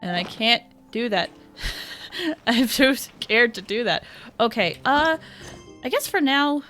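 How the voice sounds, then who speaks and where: A woman doing casual, chatty livestream commentary as she plays a game, with an excitable manner. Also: a little high, takes it slowly, soft background music. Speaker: game streamer.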